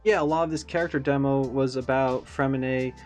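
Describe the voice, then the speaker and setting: A man's voice: medium pitch, steady tone, brisk, darkish tone, soft background music. A man giving casual reaction commentary as an online host, talking in a musing, speculative way.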